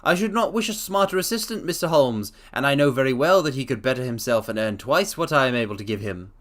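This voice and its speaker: A man's voice: medium pitch, lively intonation, fast, fairly bright tone, close to an everyday voice. A man voicing a plain, rambling middle-aged pawnbroker who tells his story with earnest grievance. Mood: plaintive.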